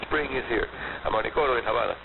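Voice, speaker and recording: A man's voice: mid-range voice, racing. A man from Cuba, a native Spanish speaker with a Cuban accent, hosting a scripted radio hobby program in an emphatic way, heard over shortwave. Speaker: radio host. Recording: noisy, narrowband shortwave radio broadcast reception.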